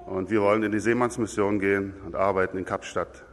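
A man from northern Germany speaks in a fairly low voice, firm and devout as he introduces himself. The recording carries a long church reverb from a distant mic. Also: level tone, quick pace, slight background noise. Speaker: master electrician sent as missionary. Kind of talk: self-introduction at a church service.

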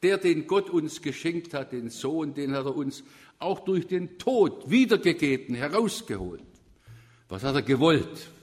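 A man's voice: fairly high pitch, very animated, unhurried, slightly rough. A man with a Swabian accent preaching a sermon, emphatic and at times rising to fervent proclamation. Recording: long reverb of a church.

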